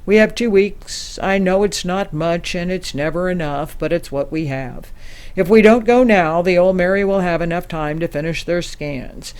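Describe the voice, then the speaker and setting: A woman playing a formal, measured ambassador briefing her crew in an audio drama. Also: on the low side, steady tone, natural voice. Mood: firm, determined. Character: composed female ambassador leading a secret space mission.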